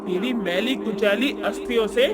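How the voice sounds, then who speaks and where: A performer voicing a vengeful pregnant witch in an animated story, scornful and threatening toward everyone addressed. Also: mid-range voice, normal speed, lightly acted. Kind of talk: Hindi animated moral story voice acting. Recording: loud music behind the voice.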